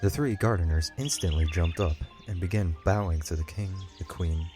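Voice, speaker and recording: A male narrator tells a scripted story in audiobook style. His voice sits very low, and music plays clearly beneath it. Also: moderate pace.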